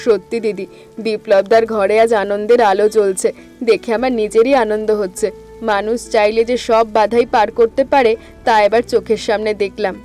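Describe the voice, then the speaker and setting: A woman's voice: medium pitch, fairly even intonation, a little fast, darkish tone, faint music behind, natural voice. A woman voicing an eager young village woman who wants to earn her own living, impatient and keen in a cartoon. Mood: moved. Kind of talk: cartoon voice acting.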